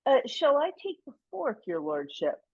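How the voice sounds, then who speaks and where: Radio comedy role: servile, tactful steward played by a woman, hesitant and expressive in pitch, slightly dull sound. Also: moderate pace, husky, exaggerated voice. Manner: obsequious, hesitant, careful politeness. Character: deferential, flattering club steward serving his lordship. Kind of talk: radio play comedy acting.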